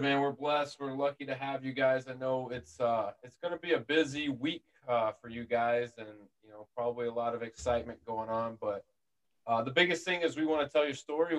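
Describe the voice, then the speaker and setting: A man's voice: medium pitch, rough recording. A man hosting an interview, asking questions in an upbeat, chummy way with frequent fillers. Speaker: interview host. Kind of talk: casual interview.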